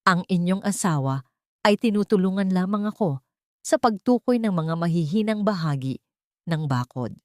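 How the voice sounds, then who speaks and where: A woman's voice: lowish voice, animated, moderate pace, no echo, clean studio sound, close to an everyday voice. Woman acting a cold, composed businessman. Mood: businesslike. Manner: cool, formal, authoritative. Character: composed, cold businessman posing as art investor.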